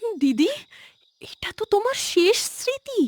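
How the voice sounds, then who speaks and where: A woman's voice: very high-pitched, very animated, unhurried, dry recording, pitched above the performer's own voice, exaggerated voice. A woman voicing a selfless younger village wife in a cartoon drama, earnest and emotional. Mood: pleading.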